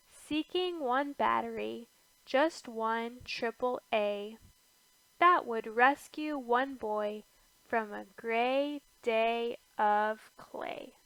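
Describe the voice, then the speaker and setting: A woman reads a rhyming picture book aloud in a higher-pitched voice with noticeable fry. She takes it extremely slowly, setting out each short phrase with care. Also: fairly bright tone, dry recording, rough recording. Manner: deliberate phrasing with pauses between short phrases. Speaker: storybook reader.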